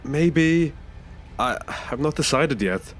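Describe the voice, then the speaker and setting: A man acts out a nervous male taxi passenger in a sci-fi audio play. His voice sits fairly high and moves around a lot in pitch. He is halting and defensive, tripping over his words. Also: expressive. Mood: hesitant. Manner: stammering, hesitant, halting. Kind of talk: science fiction audio drama acting.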